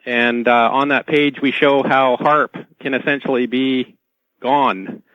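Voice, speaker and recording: A man as a radio interview guest, explaining technical points informally and haltingly, often repeating words, on dull band-limited audio. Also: mid-range voice, fairly even intonation, no echo.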